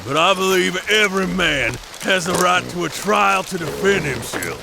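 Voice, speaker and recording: Gravelly western bounty hunter. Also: male voice, sits high, animated, normal speed, noisy background. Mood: grimly practical. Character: tough, unhurried frontier bounty hunter, menacing and practical. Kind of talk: western audio drama acting.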